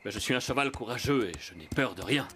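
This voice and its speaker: A man's voice: medium pitch, animated, quick pace, a bit bright, soft background music, lightly acted. A man voicing a proud, headstrong horse in a children's cartoon, boastful and dramatic. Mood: proud, defiant. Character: proud, headstrong young male horse craving freedom. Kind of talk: French cartoon dub acting.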